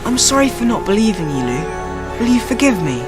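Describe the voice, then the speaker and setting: A decisive British schoolboy, the bossy but fair eldest brother in a radio drama, played by an actor. The delivery is very animated and expressive, with music clearly playing behind it. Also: medium pitch, moderate pace, lightly acted. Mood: eager.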